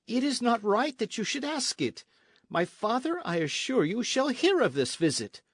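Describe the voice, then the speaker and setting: A man's voice: sits high, big pitch swings, a little slow, a little mellow, no room sound, pitched above the performer's own voice, exaggerated voice. A man voicing a proud, outraged young Spanish colonial noblewoman in a storybook, speaking formally and emphatically. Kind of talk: storybook narration with character voices.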